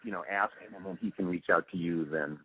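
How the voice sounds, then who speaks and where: An older man with a husky voice chatting casually in an interview, easygoing and halting, restarting his sentences. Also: sits low, steady tone. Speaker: interview guest, audio post-production supervisor. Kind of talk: casual phone interview chat. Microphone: phone or call line. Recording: tinny, dry phone-like line.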